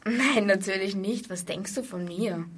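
A woman's voice: mid-range voice, unhurried, fairly bright tone, husky. A girl playing an easily annoyed schoolgirl in an amateur school radio play. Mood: evasive. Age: child. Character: schoolgirl hostess annoyed by her little brother.

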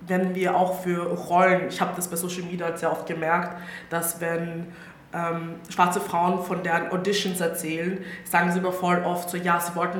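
A woman with an Austrian accent gives informal opinions as a panelist, colloquially dropping English words into her German. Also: lowish voice. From Styria, Austria. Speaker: panelist, social media activist. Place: indoor public event venue. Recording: roomy echo, clear background noise.

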